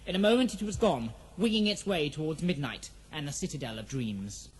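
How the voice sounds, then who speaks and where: A man's voice: on the high side, expressive, fairly quick, slightly rough. A man playing the storyteller of an epic fantasy audio drama, giving formal, dramatic scripted narration. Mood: dramatic, measured.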